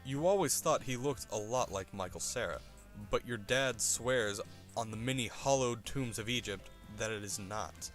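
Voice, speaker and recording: A man's voice: mid-range voice, normal speed, a bit bright, soft background music. A man reading scripted comic narration in a wry, theatrical manner.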